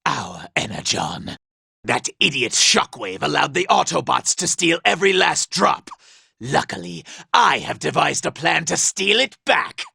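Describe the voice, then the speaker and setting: A male actor playing a vain, scheming, power-hungry robot commander in a video game, imperious and theatrical, with a raspy, gravelly voice. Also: on the high side, very animated, a little slow, pushed and bright, no echo, clean studio sound, heavily acted. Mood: indignant. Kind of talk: video game villain voice acting.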